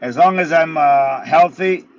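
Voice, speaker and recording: A man's voice: a little high, a little slow. Blunt guy in his thirties, muffled line. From United States. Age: 30s. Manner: laid-back, blunt, swears casually. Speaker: guest, MMA fighter. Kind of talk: casual interview. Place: in his car.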